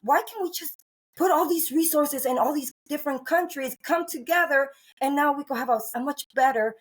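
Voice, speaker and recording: A woman's voice: high voice, normal speed. A middle-aged woman from Cuba hosting a podcast, talking in an earnest, rambling way with many restarts and fillers. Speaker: podcast host. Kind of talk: casual interview chat.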